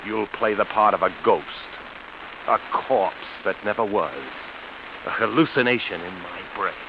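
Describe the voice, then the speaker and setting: An actor playing an embittered, falsely genial schemer with quiet menace, savoring his words in 1940s radio suspense style. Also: fairly low pitch, moderate pace, close to an everyday voice. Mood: gloating menace. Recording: muffled, hissy vintage radio recording.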